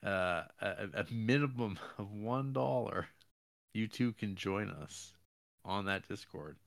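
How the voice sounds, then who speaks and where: A man's voice: on the low side, slow, gravelly. A man co-hosting a podcast in casual chat, with a dry, jokey, mock-formal way of talking. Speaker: podcast co-host.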